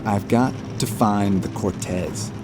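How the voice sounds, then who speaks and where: An actor playing a gruff, suspicious boat captain down on his luck in an audio drama. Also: fairly low pitch, takes it slowly, noisy background. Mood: weary, frustrated. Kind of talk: full-cast audio drama acting. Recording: music behind the voice.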